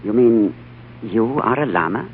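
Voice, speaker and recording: An actor playing a composed, shrewd gentleman in an old radio drama, urbane, courteous and polished in speech and narration. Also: lowish voice, animated, measured pace, slightly rough, heavily acted. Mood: curious, polite. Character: cultured, composed, shrewd British diplomat narrator. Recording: muffled vintage radio broadcast with music.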